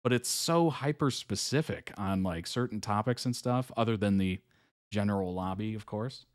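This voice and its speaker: A man's voice: on the low side, moderate pace, no room sound, studio quality. A man hosting a live reaction stream, chatting casually with viewers, laughing often and using lots of fillers.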